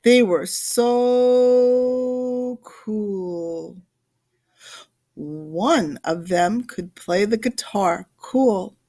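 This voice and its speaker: A woman reading a children's picture book aloud expressively, with dramatic pauses and drawn-out emphasis on words. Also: mid-range voice, expressive, a little mellow. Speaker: children's storybook reader.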